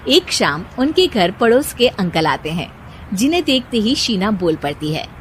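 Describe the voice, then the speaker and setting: A woman reading scripted narration for an animated moral story. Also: medium pitch, moderate pace, slight background noise.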